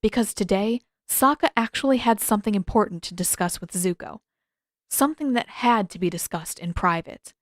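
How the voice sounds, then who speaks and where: A woman's voice: medium pitch, moderate pace, no echo, studio quality. A woman reading a story aloud as scripted narration. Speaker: podfic narrator and author.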